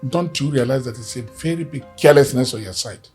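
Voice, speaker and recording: A man's voice: medium pitch, a little fast, darkish tone, slightly rough, soft background music. A man playing an angry boss who scolds his staff for negligence in a comedy skit. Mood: stern. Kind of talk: comic skit acting.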